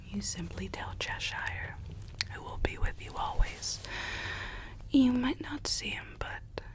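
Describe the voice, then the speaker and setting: Slow whispering woman, acting a sweetly menacing Alice. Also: fairly low pitch. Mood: quietly menacing. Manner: slow, hushed, coaxing, repeats phrases softly. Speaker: ASMR roleplay performer. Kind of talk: whispered ASMR roleplay. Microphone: close ASMR microphone.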